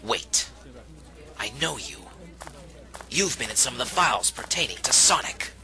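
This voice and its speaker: A man's voice: mid-range voice, very animated, a little slow, pushed and bright, heavily acted. A man playing an earnest, polite teammate in an audio drama, with careful, slightly formal phrasing. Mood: earnest, friendly. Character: earnest, polite male teammate, reformed from stiffness.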